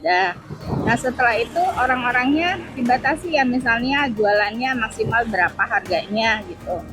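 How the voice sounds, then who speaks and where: A woman's voice: fairly high pitch, lively intonation, moderate pace, over music, rough recording. A woman, a school principal casually explaining her opinions with colloquial tags and rhetorical questions. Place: on a ride-hailing motorbike on the road. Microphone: helmet intercom microphone.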